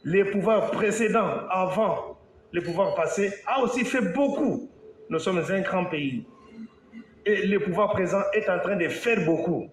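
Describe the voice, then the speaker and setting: A man from Congo, an engineer more used to English, giving an earnest talk with pauses and restarts that rise when he stresses a point. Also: high voice, roomy sound, a little hiss or hum. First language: English is his main working language. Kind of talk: slow, halting public talk.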